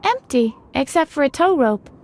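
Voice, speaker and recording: Plucky, high-voiced young girl. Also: big pitch swings, normal speed, background noise. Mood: determined. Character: young escaped asylum girl, plucky, wry, stubborn. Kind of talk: adventure game character acting. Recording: background music under the voice.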